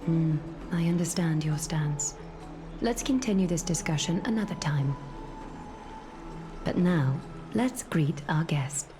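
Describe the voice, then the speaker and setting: A solemn woman who leads heroes in a fantasy game. Her voice sits a little low and her delivery is composed, formal and regal. Music is clearly heard underneath. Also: expressive, takes it slowly. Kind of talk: scripted fantasy game dialogue.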